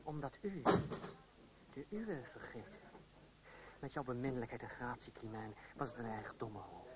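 A man's voice: mid-range voice, expressive, normal speed, close to an everyday voice. A man acting a witty, mocking, gallant rogue in love in an old radio drama, ironic and courtly in his delivery. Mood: ardent, earnest. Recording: dull old radio play, crowd noise behind.

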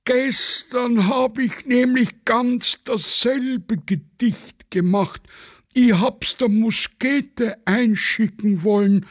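Man putting on a high, plaintive Viennese poet. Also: dry recording, clean studio sound, heavily acted. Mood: plaintive, self-important. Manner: slow, drawn-out verse reciting. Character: plaintive, vain Viennese amateur poet, male.

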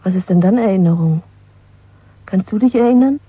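An actress playing a young, pragmatic, questioning secret lover in a German radio drama, with a husky, breathy voice. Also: female voice, mid-range voice, moderate pace, no room sound, close to an everyday voice. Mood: puzzled, curious. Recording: dry, narrow phone-like sound.

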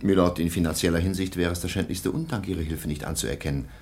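An actor playing a stern, proud baron and anxious guardian in a German radio drama, speaking with formal, indignant courtesy. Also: male voice, low voice, steady tone, fast talker, a little mellow, slight background noise.

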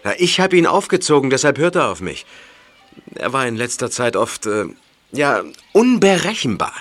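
An actor in a German radio play voicing a firm uncle who raises lions and knows how to soothe them. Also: male voice, mid-range voice, big pitch swings, normal speed, fairly bright tone. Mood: concerned. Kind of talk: German audio drama acting.

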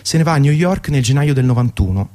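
A man's voice: medium pitch, animated, fast, warm tone. A man reading a scripted narration as a radio presenter, with dramatic, literary storyteller phrasing. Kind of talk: scripted storytelling narration, guided music programme. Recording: narration over prominent background music. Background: music.

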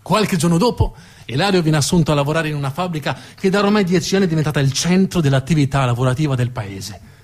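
A man's voice: a little high, expressive, fast. A man telling a story as a stage narrator, emphatic and dramatic, building up lists and repetitions.

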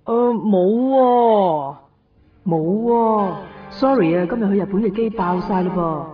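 Husky harried Cantonese ticket clerk. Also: female voice, mid-range voice, unhurried, faint music behind. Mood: apologetic. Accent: Hong Kong Cantonese. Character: harried but obliging female airline ticket clerk. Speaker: radio drama actor. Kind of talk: radio drama dialogue. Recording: thin phone-like 1990s radio drama sound.

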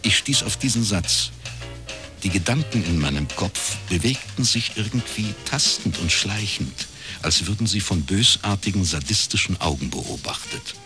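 An actor playing a laconic private detective in a radio crime drama, with a husky voice and a measured, dry way of telling things. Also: male voice, lowish voice, wildly swooping pitch, music in the background. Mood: dry, reflective. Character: laconic male East Berlin academic turned private detective.

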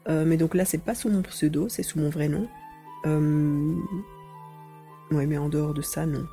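A woman's voice: deep voice, steady tone, a little slow, mellow, faint music behind. Woman, interview guest, casual conversational answers.

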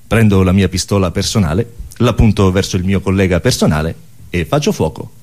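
A man performing scripted absurdist theatre with a mock-solemn, deliberate, declamatory delivery. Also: deep voice, animated, darkish tone. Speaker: stage performer.

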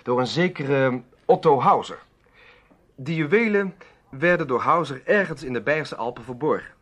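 A man's voice: mid-range voice, animated, moderate pace, a bit bright. An actor playing an earnest, bold adventurer telling his story emphatically in a Dutch radio drama.

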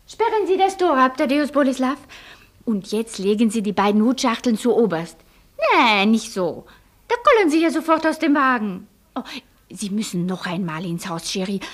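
An actress in a radio play playing a fussy lady who gives orders in a commanding manner. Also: high-pitched. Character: bossy, fussing lady giving orders.

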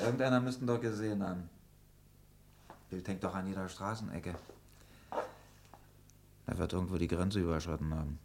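An actor playing a brisk, commanding police inspector in a German radio crime play, curt and sardonic. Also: male voice, deep voice, a little hiss or hum. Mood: weary, grumbling.

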